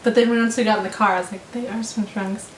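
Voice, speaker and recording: A woman's voice: medium pitch, fairly even intonation, quick pace. A woman in her twenties chatting casually as she tells a story, giggly and quoting herself. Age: 20s. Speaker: storyteller in a couple's vlog. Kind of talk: casual chat, telling a story. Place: small living room, indoors. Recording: roomy echo, faint room noise.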